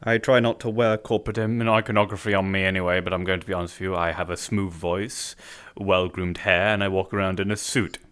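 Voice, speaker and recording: A man playing a suave businessman in a suit, speaking in a smooth, formal and faintly sly way. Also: sits low, steady tone, brisk, a bit bright. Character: suave suited businessman with groomed hair. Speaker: streamer roleplaying a character. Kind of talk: improvised social-VR roleplay.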